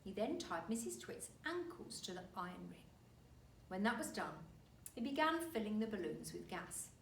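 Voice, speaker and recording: A woman reading a children's story aloud like a teacher, expressive and deliberate. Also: mid-range voice, vocal fry. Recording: roomy, distant mic, faint noise.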